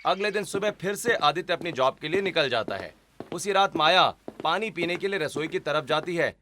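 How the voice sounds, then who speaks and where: Bright-voiced man narrating a family story. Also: on the high side, expressive, normal speed, a little hiss or hum. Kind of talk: scripted dramatic storytelling narration.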